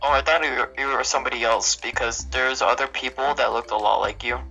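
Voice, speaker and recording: A man's voice: medium pitch, steady tone, fast, bright, forceful tone, rough recording. A young man with a Texas accent chatting casually and teasing his friend while gaming. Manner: teasing, goofy. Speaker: gamer friend. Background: faint game music.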